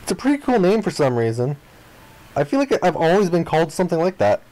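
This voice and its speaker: A man reading the narration and dialogue of a visual novel aloud in an expressive style. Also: fairly high pitch, fast, rough recording.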